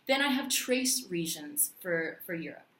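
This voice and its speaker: A woman from Puerto Rico vlogging in a chatty, animated way, with lots of fillers and restarts. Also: fairly low pitch, unhurried. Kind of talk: casual talk to camera. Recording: echoey room.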